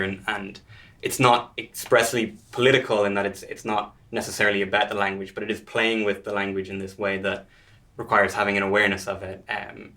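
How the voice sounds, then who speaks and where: A man hosting a live panel, asking long, measured, reflective questions. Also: lowish voice, fairly bright tone. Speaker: interviewer host. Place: live event on stage.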